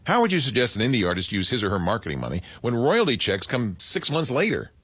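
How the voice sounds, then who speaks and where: A man's voice: medium pitch, wildly swooping pitch, quick pace, fairly bright tone, no room sound. A man reading listeners' written questions aloud.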